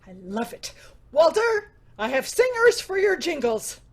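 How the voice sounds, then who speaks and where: A woman playing an eager radio troupe organizer with an excitable, take-charge manner. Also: extremely high pitch, measured pace, full character voice. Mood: excited. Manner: excitable, bossy, animated.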